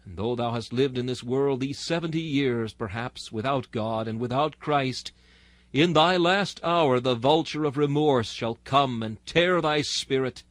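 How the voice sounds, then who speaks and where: A man's voice: mid-range voice, a little slow, a bit bright, no echo. A man reading a sermon in a solemn, exhorting, oratorical manner. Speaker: narrator reading a historical sermon.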